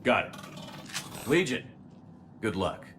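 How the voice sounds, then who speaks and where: A man playing a terse, steady, supportive military commander in a sci-fi video game, with an understated delivery. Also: fairly low pitch, drawn-out words, slight background noise, full character voice. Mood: composed, resolute. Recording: clear game music underneath.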